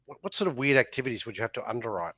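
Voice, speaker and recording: A man's voice: mid-range voice, animated, racing, a bit bright, breathy edge, dry recording. A man chatting casually as a co-host and asking questions.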